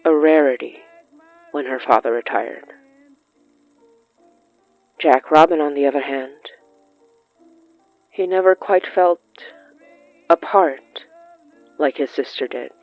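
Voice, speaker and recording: A narrator telling a scripted literary horror story in a measured way, with dramatic pauses between short phrases. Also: medium pitch, no echo, lo-fi sound, faint music behind. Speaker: narrator of a horror fiction podcast.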